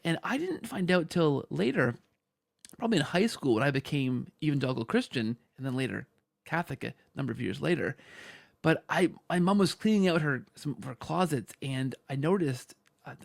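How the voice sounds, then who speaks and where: Male podcast host, lighter pitch with creaky edges, animated conversational storytelling. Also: dry recording, clean studio sound. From Canada. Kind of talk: conversational interview.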